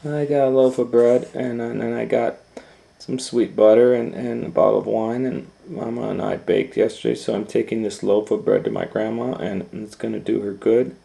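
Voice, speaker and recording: A man's voice: mid-range voice, steady tone, moderate pace, gravelly, mellow, voice pushed up, rough recording. A man voicing a sweet, polite little storybook girl who chatters in an eager, artless way. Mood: eager, chatty.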